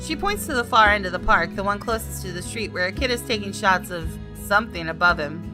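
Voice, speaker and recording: A woman's voice: medium pitch, lively intonation, fairly quick, over music. A woman hosting a gaming video, switching between casual commentary and reading story text aloud.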